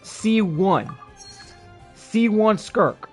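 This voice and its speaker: A man with a fairly high voice talks casually to his stream. He rambles along, often circling back to repeat a phrase. Faint music plays behind him. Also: expressive, extremely slow, breathy edge. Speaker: game streamer. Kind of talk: casual livestream chat over gameplay. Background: game music.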